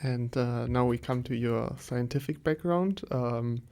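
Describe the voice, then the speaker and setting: Man with a gravelly voice asking questions. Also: medium pitch, unhurried, dark tone. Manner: brief prompts and questions. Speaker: podcast interviewer. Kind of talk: interview questions.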